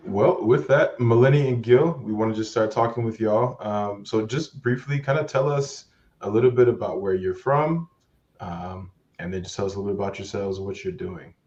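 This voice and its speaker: A young man co-hosting a podcast, relaxed and affirming as he invites the guests to talk. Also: normal speed. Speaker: podcast co-host. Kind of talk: casual chat. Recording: roomy, muffled, faint rumble.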